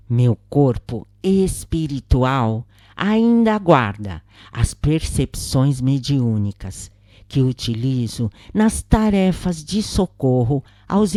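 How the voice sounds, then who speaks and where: A Brazilian woman narrates a scripted account in a very low voice. Her delivery is slow and measured, with a soft, mellow tone. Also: no room sound, studio quality. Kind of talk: scripted narration of a first-person spiritist account.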